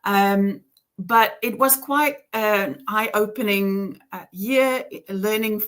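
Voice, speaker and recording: A woman with a heavy Afrikaans accent speaks slowly and reflectively, pausing often on ums. Her voice sits at a middle pitch for a woman. She tells her faith story in an earnest, searching way. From South Africa. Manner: earnest and reflective, with frequent ums and soft laughs. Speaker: interview guest. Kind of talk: personal faith testimony.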